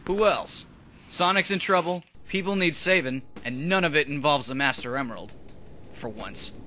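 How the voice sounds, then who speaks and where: Man as a bright, forceful tough hero. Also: a little high, lively intonation, normal speed, slight background noise. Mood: blunt, determined. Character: blunt, tough, good-humored male echidna hero. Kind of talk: audio drama acting.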